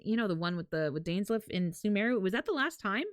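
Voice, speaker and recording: A woman's voice: medium pitch, lively intonation, fast talker, no echo, studio quality. A woman livestreamer chatting casually and informally as she reacts to a game announcement for her viewers. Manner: informal, mild swearing.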